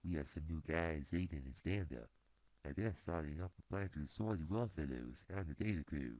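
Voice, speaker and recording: A man voicing a gruff, food-stealing male forest creature in an amateur cartoon, explaining things slowly. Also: rumbling low, a little mellow, no echo. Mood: matter-of-fact.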